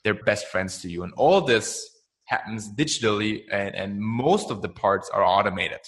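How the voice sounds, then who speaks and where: A young man from Austria whose first language is German, speaking as a podcast guest in a chatty, energetic way and often tagging "right?" onto his points. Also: on the low side, moderate pace. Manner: energetic, chatty, frequent "right?" tags and laughs. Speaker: guest, marketing founder. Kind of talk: casual podcast interview.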